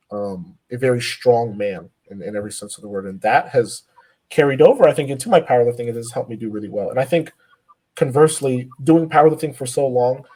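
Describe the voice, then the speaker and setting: A man's voice: mid-range voice, darkish tone. A man in his twenties, a powerlifter guest on a podcast, talking earnestly with many fillers and restarts. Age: 20s. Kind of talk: casual podcast interview.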